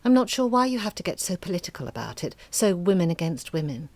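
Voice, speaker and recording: A woman's voice: lowish voice, big pitch swings, fast, darkish tone, no room sound. A cool, reluctant woman. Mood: questioning. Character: guarded woman listener, reluctant, reserved. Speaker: supporting character in audio drama. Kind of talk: audio drama acting.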